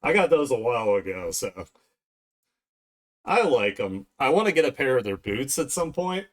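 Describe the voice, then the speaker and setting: A man's voice: medium pitch, big pitch swings, normal speed. A man talking casually to viewers on an art livestream, rambling along as he works. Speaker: art streamer hosting a livestream. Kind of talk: casual livestream chat while drawing.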